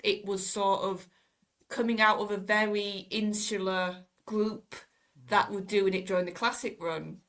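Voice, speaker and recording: A woman talking casually and thoughtfully as a panelist on a podcast, with expressive phrasing. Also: medium pitch, measured pace. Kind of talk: casual discussion.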